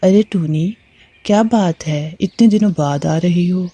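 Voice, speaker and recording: A woman's voice: fairly low pitch, measured pace, warm tone, slight background noise, lightly acted. A woman playing a helpful, cautious male bird in a moral story cartoon, speaking earnestly. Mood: concerned, helpful.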